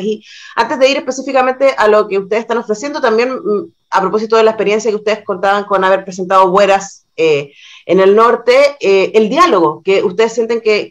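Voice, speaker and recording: A woman with a Chilean accent hosting a conversational radio interview, reflective and often adding small tag questions as she talks. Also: medium pitch. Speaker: radio host interviewing a guest.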